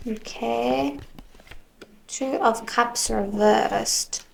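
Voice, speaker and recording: Slow gravelly-voiced woman reading tarot, kinda losing her voice, soft and dreamy. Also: a little high, slight background noise. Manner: slow, soft, musing, drifts into whispers. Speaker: tarot reader.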